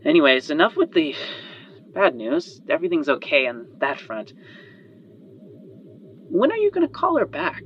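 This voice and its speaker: A man's voice: on the high side, huge pitch swings, normal speed, long pauses, voice pushed up. A man as an eager, rambling female inventor, muffled. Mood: subdued, worried. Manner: hesitant, rambling, trailing off and sighing. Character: eager, chatty female inventor, worried and loyal. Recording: muffled band-limited audio under music.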